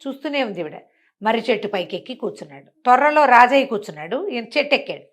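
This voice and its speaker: A woman telling a bedtime story conversationally, in an expressive, explanatory way. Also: on the high side, fast talker. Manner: expressive, explanatory, acts out characters' dialogue.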